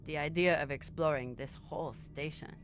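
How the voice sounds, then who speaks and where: A woman's voice: low-pitched, moderate pace, far from the mic, noisy background. A woman playing a tough, terse spaceship officer in a video game cutscene. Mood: wary, subdued. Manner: terse, commanding. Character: tough, guarded female spaceship first officer, Belter scavenger.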